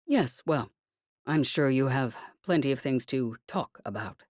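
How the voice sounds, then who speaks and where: A woman's voice: very deep voice, animated, a little slow, a little mellow, no echo, clean studio sound, heavily acted. A woman voicing an embarrassed male government official in an audiobook, polite, formal and hesitant. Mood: awkward, polite.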